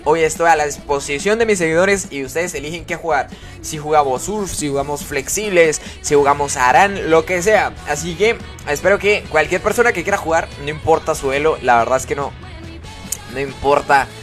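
A man's voice: fairly high pitch, a bit bright. A man hosting a casual live game stream, chatting with followers, greeting them by name and reading their comments aloud. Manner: chatty, greets viewers and reads chat aloud.

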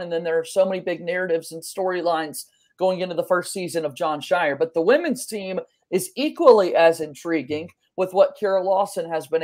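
A man's voice: high voice, darkish tone. A man in his thirties hosting a sports podcast in an eager, enthusiastic way from a small room at home. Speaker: podcast host.

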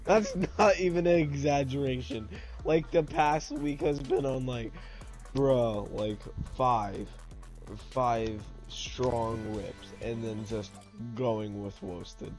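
A man's voice: mid-range voice, slow, music in the background. Rambling young gamer guy. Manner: rambling, stumbling, repeats words. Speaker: friend in voice chat. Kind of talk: casual gaming voice chat.

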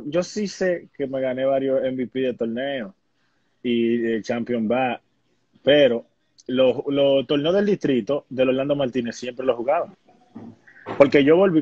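A young man speaks in casual Dominican Spanish with a husky edge to his voice. He talks like a guest swapping stories in an interview. The sound is dry and a little muffled, as from a phone on a video call. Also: medium pitch, normal speed, a little mellow, no room sound. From Dominican Republic. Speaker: interview guest, baseball player. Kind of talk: casual conversational storytelling. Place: indoor room at home. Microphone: phone on a live video call.

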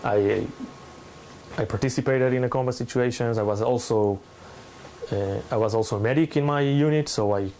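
A man's voice: mid-range voice, a little mellow, slight background noise, rough recording. Israeli-accented man giving a public lecture. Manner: halting non-native English, emphatic. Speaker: lecturer, NGO researcher. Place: indoor salon room, speaking to a small audience.

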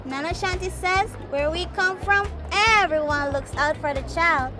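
A woman's voice: very high voice, a little slow, over music. A woman playing a confident, know-it-all young Jamaican girl who explains things like a little teacher in a children's puppet show. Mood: reassuring.

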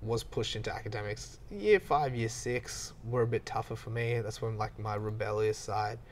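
A man's voice: lowish voice, normal speed, a little hiss or hum. A man, chatting candidly as a podcast guest and laughing at himself now and then. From Australia. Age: 20s. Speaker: podcast guest, gym owner. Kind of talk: casual podcast chat.

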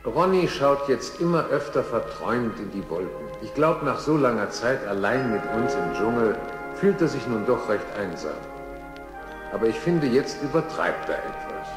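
An actor playing a thoughtful, caring jungle animal, measured and reflective, in a cartoon dub. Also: male voice, medium pitch, reverberant, long reverb tail, lightly acted. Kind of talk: German-dubbed cartoon dialogue.

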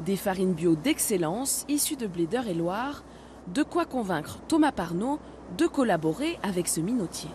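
A woman's voice: mid-range voice, very animated, moderate pace, a little mellow, a little hiss or hum. A woman, presenting a regional TV magazine as a reporter.

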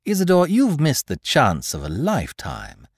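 A man doing a jaunty, mocking gentleman thief. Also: a little high, wildly swooping pitch, moderate pace, creaky, breathy edge, no room sound, clean studio sound, voice pushed up, full character voice. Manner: jaunty, patronizing, theatrical.

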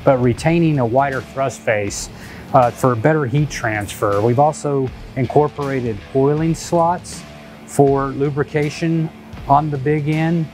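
A man's voice: medium pitch, measured pace, darkish tone. Man presenting a new engine part. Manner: technical, with fillers and restarts. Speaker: company director presenting a product. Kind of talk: corporate product video talk. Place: indoor inspection lab with machines. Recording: faint music behind.

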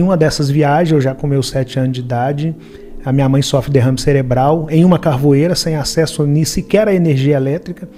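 Mellow-voiced Brazilian man from Minas Gerais. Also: mid-range voice. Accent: Minas Gerais Brazilian Portuguese. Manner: earnest storyteller, acts out quoted dialogue. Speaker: podcast guest. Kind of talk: personal life story told in a podcast interview. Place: studio with brick wall and purple lights. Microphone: studio microphone on a boom arm.

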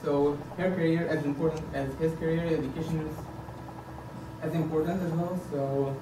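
A man in his thirties, raised in Egypt, presents himself at a podium in an earnest, halting way, filling pauses with "uh". His somewhat high voice is captured from a distance, so the room's echo and a steady hiss come through clearly. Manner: hesitant, many fillers, earnest. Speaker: board candidate. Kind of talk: campaign presentation. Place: meeting room indoors, at a podium. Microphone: far camera. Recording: echoey room from a distance, background hiss.

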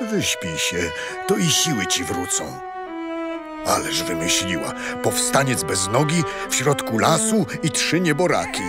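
An actor playing a gruff but soft-hearted old uncle, folksy and grumbling tenderly, in a children's radio play. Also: male voice, on the low side, very animated, a little slow, loud music behind the voice.

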